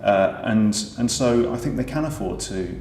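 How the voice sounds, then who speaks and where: A man's voice: on the low side, animated, normal speed, a little mellow, faint music behind. A man answering interview questions about pantomime, hesitant with many restarts. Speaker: pantomime writer and director. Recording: echoey room with faint noise.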